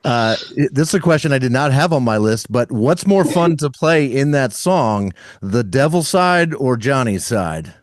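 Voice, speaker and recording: A man's voice: medium pitch, expressive, normal speed, hardly any pauses, no room sound, clean studio sound. A man hosting a casual interview, asking rambling questions as an enthusiastic fan. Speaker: podcast host interviewing a band.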